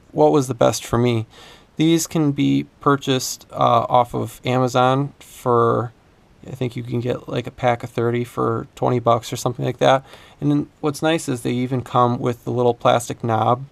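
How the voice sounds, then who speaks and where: A man explaining a hands-on repair casually, in a hesitant, halting way. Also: medium pitch, vocal fry, no room sound. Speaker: repair video host.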